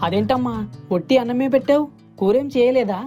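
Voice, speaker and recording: A woman voicing an earnest, pleading younger brother from a poor fishing family in an animated moral story. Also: on the high side, a little fast, music in the background, lightly acted. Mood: curious.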